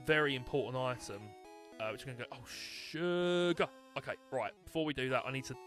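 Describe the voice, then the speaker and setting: A young man with a British accent talks through a live game stream in a loose, chatty way, full of fillers and quick self-corrections. His voice sits at an ordinary middle pitch. A faint game tune plays underneath. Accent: British English. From United Kingdom. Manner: chatty and animated, with lots of fillers and restarts. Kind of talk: live gameplay commentary.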